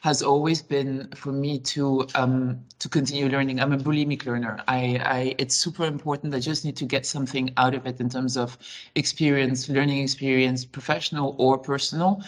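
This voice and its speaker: A woman's voice: rumbling low, level tone, moderate pace. A woman who grew up between Switzerland and Senegal, speaking as a company chief executive in an interview. She answers frankly and reflectively, phrasing her thoughts loosely as she goes.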